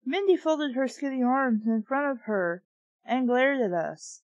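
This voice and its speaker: High-voiced, slow-paced sardonic woman. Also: animated, no echo, lo-fi sound. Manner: sweary, exasperated, sarcastic. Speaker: reader and reviewer of children's books. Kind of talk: audiobook-style reading with sardonic ad-lib commentary.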